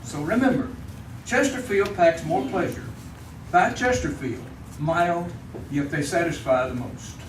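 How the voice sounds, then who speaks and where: An American male announcer delivers an old-time radio advertisement. His voice is slightly high, and the recording is echoing and a bit distant. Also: a little slow, very noisy. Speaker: cigarette commercial announcer. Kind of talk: 1950s radio advertising read.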